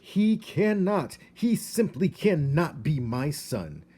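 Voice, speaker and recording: A man voicing an outraged, melodramatic father mouse in a storybook, exclaiming in loud indignation. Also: a little high, very animated, slow pace, slightly rough, voice pushed up, full character voice. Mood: outraged despair. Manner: exclamatory, dramatic, shouting. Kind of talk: storybook character voice.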